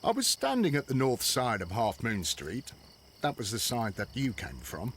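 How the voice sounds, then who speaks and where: An actor playing an earnest Victorian police constable with an English accent, reporting matter-of-factly in a video game drama. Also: male voice, mid-range voice, big pitch swings, normal speed, a little hiss or hum. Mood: earnest, factual.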